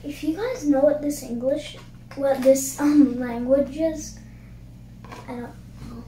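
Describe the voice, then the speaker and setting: A young girl reading a picture book aloud informally, halting over words and adding chatty asides. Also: high-pitched, dark tone. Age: child. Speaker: reader and host of a read-aloud video. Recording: noisy, roomy home recording.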